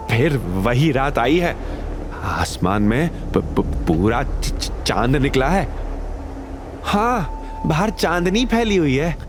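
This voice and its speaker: Animated male dramatic story narrator. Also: on the low side, noisy background. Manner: suspenseful, theatrical.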